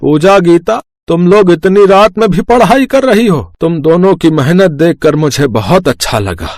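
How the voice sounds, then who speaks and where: A man's voice: high voice, animated, normal speed, a little mellow, no echo, clean studio sound. A man playing a humble, cautious village father in a dramatized story, speaking earnestly. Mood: proud, tender. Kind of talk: dramatized moral story acting.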